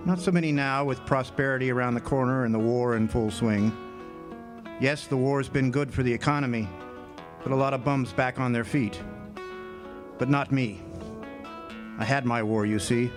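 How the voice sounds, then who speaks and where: A man's voice: mid-range voice, natural voice. An actor playing a weathered, wry male drifter and Great War veteran, telling his story plainly in a 1940s radio drama style. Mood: rueful. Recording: guitar music behind the voice. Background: acoustic guitar music.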